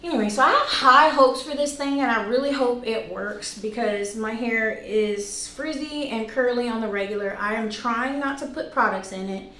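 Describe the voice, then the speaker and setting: Higher-voiced woman vlogging in an echoey room. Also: moderate pace, few pauses, bright, forceful tone. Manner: informal, conversational, self-deprecating asides. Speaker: video host, product reviewer. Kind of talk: casual chatty vlog to camera. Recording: echoey room, faint background noise.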